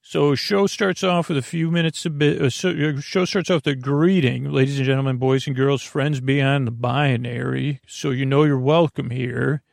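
Creaky-voiced man rambling at bedtime. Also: medium pitch, steady tone, darkish tone, no echo, clean studio sound. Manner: meandering, halting, goes off on tangents mid-sentence. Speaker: podcast host. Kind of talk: rambling bedtime monologue for falling asleep.

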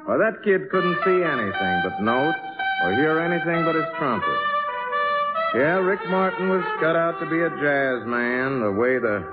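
Loud trumpet music plays behind a man acting as a wistful jazz pianist telling a story. His folksy, laconic narration rises and falls expressively, through muffled 1950s radio sound. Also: a little high, animated, normal speed, lightly acted. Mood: admiring. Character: wistful, laconic dance-band piano player narrating. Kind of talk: 1950s radio drama first-person narration.

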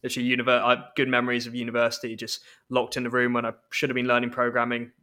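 A young man in his twenties hosts a relaxed podcast chat. His voice is bright and forward, yet his pitch stays fairly level. He sounds friendly and easygoing. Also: very fast. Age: 20s. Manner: friendly, chatty, laughs easily. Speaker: podcast host. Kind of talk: casual podcast conversation.

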